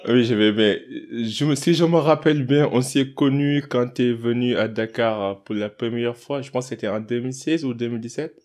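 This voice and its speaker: A man hosting a podcast, chatting in a relaxed way with frequent fillers and laughs. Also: medium pitch, normal speed, few pauses. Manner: relaxed, laughs often, many fillers and restarts. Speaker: podcast host. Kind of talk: casual interview.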